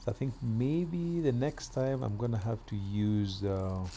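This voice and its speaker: A middle-aged man from Kenya chatting casually while doing yard work outdoors, with relaxed pauses and fillers. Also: medium pitch, slight background noise. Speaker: vlogger.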